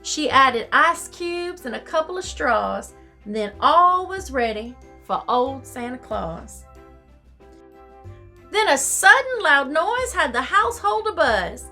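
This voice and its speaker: A woman storyteller with a high, bright voice reads to children. She takes her time and delivers each phrase theatrically. Soft music plays behind her. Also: very animated, takes it slowly. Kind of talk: expressive storybook reading in rhyme.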